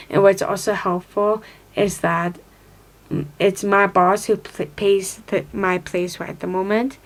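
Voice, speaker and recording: A woman's voice: medium pitch, rough recording. A woman in her twenties from upstate New York with an American accent, casually talking to camera, rambling with lots of fillers and restarts while glancing at notes. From upstate New York, United States. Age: 20s. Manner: reads from notes, looking down. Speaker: vlogger.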